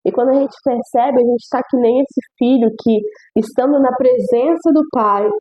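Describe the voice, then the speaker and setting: A young woman hosts a devotional video, reading scripture aloud and reflecting on it. Her voice is stuffy and nasal from a cold, with a mellow, unhurried warmth. She speaks earnestly and often repeats phrases for emphasis. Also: fairly high pitch, slightly rough, dull audio.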